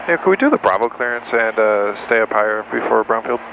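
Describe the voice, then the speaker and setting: A man's voice: fairly low pitch, animated, fast talker, background noise. A man with an American accent, a pilot chatting casually and making radio calls from the cockpit. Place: small aircraft cockpit in flight. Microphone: aviation headset. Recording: dull intercom audio, loud aircraft drone.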